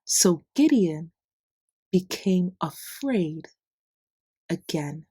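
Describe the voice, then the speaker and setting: A woman narrates a children's story at a very slow, deliberate pace. Her voice sits slightly low and moves in lively swings of pitch, giving key words clear emphasis. The sound is close and dry. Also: no echo. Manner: deliberate, simple phrasing with expressive emphasis for children. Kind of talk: scripted read-aloud bedtime story narration.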